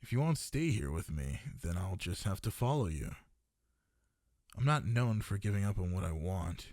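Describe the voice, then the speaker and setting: Low-voiced flirty dragon librarian, acted by a man. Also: darkish tone, no room sound, studio quality.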